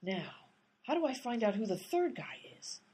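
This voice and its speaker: An impatient amateur detective, played by a woman, talks briskly and sounds exasperated in a comedy radio play. Her voice is husky and breathy, with lively, swinging pitch. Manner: brisk and exasperated, pushing the case forward.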